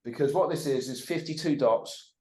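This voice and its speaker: Sweary animated man in his forties. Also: fairly high pitch, normal speed. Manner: sweary, animated, keeps tagging "right?". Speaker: podcast guest. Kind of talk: casual conversation.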